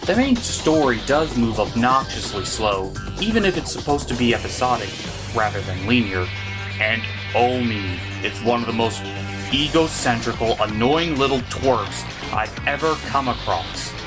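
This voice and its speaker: A man narrates a scripted comedy review with a medium-pitched voice that swings around expressively. He is theatrical, crude and opinionated, like a show host making his case. Music plays clearly behind him. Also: animated, normal speed. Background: music bed.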